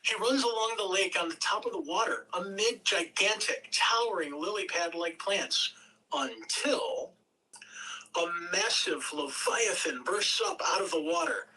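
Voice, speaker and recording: An amateur script reader narrates action and scene headings dramatically. The voice is bright and takes its time, heard away from the mic with some background noise. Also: mid-range voice, unhurried, distant mic, poor audio. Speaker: script reader at a table read. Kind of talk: expressive amateur reading of screenplay directions.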